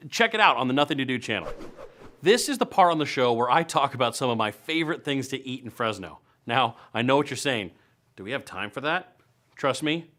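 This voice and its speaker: A man hosting a web show in a scripted, playful comedic style, leaning on words for emphasis. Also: mid-range voice. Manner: playful, punchy delivery with emphatic stretched words. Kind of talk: upbeat scripted comedic news and events presenting.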